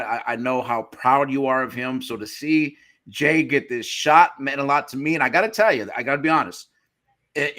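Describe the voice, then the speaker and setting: A man's voice: mid-range voice, bright, forceful tone. A man co-hosting a podcast, introducing the guest with enthusiastic hype-style intros and stumbling over some words. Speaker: podcast co-host.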